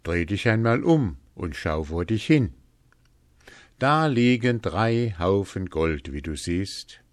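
A man voicing a calm, mysterious ghost in a fairy tale, speaking in a measured, solemn way. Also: on the low side, no room sound, studio quality. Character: calm, mysterious male ghost hung with keys. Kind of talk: fairy-tale storybook character voice.

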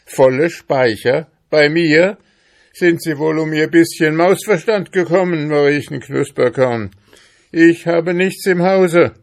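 A man's voice: fairly high pitch, unhurried, voice pushed up. A man voicing a grumpy, stingy male hamster farmer in a storybook, blustering and grumbling indignantly. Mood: furious, indignant.

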